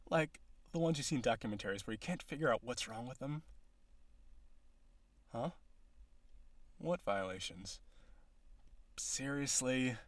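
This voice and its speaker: Smug corporate villain on a date, played by a man: teasing, sardonic, conversational, animated intonation, dry recording, flirty roleplay audio. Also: medium pitch, no echo. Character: cocky flirtatious male businessman villain on a date.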